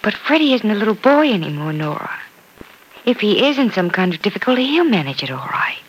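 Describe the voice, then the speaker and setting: An actress playing a gentle woman in a 1950s radio soap, soothing and reassuring a tearful friend. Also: medium pitch, very animated, a little fast, slightly rough, rough recording. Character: gentle, comforting woman confidante.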